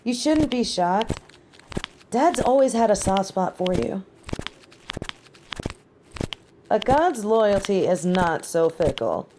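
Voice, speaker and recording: A woman's voice: mid-range voice, background noise, lightly acted. A woman acting a caring, brash and loyal young superheroine in a scripted audio roleplay, with a conversational, sassy delivery. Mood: reassuring. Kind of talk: scripted one-sided audio roleplay drama.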